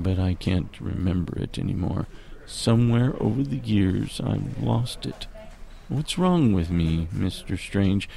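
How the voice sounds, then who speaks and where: A man playing a despairing, confused doctor with a halting, anguished manner, in an audio drama. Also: lowish voice, creaky, warm tone. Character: broken, despairing male doctor who fears he is losing his mind.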